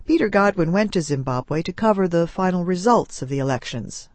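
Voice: medium pitch, animated, brisk, no room sound. A reporter reading a scripted news feature narration.

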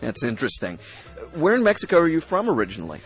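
An eager young college student. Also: male voice, on the high side, wildly swooping pitch, fast talker, soft background music. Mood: friendly. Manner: friendly, eager questions. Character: friendly young male college student from a small town. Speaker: dialogue actor. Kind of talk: scripted radio lesson dialogue.

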